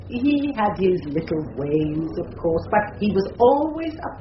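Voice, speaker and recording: An actress playing a prim, loyal, middle-aged English matron, tart and defensive in a radio drama. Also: female voice, medium pitch, very animated, measured pace. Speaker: witness, nursing home matron. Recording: dull echoey radio recording with hiss.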